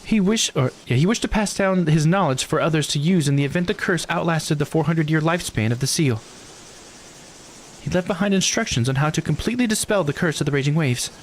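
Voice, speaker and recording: A man's voice: on the high side, animated, fairly quick, slight background noise, pitched above the performer's own voice, natural voice. A man voicing a self-satisfied, showy storyteller from a visual novel game as they explain old secrets. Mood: smug, eager.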